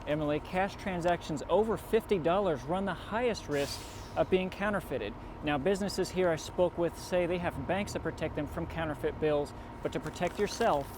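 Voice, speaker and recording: A man giving a scripted TV news report in a measured, emphatic reporter's delivery. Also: fairly high pitch, background noise.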